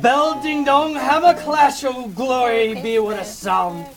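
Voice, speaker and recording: Old male miser, very high pitch, slow delivery, theatrical, emotional, exclamatory, comic audio drama. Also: steady tone, over music. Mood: giddy joy. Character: repentant old male miser, desperate then giddily overjoyed. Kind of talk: amateur comic audio play acting.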